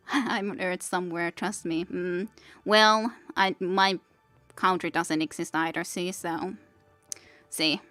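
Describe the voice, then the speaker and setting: A woman in her thirties with a Finnish accent, Finnish being her first language, chats loosely as she plays a game on stream. Her voice sits a bit low, and her thoughts wander with many restarts. Faint music plays behind her. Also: fairly even intonation, slow pace, long pauses, fairly bright tone, no room sound. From Finland. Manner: rambling, reads chat aloud, many restarts. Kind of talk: casual gameplay stream chat.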